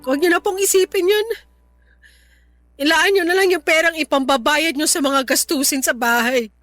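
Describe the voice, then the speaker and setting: High-voiced, wounded Filipino daughter. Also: female voice, moderate pace, a bit bright, no echo, clean studio sound, soft background music. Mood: subdued, resigned. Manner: emotional, reproachful, pleading. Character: hurt, resentful adult daughter, dutiful family breadwinner. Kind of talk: Filipino radio drama acting.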